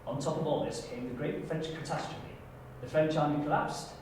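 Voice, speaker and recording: A man's voice: fairly high pitch, moderate pace. Male auditionee delivering a formal dramatic reading in a heavily echoing, noisy room. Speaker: auditioning performer. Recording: very echoey room, distant mic, clear noise.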